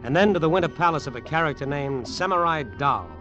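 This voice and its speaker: An actor playing a tough, cynical private detective, wry and clipped, in an old radio drama. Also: male voice, fairly high pitch, expressive, fast, dull audio, lightly acted. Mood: cynical narrating. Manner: wry, clipped, hard-boiled. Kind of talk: 1940s-50s radio acting, first-person narration and dialogue. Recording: vintage radio drama with music.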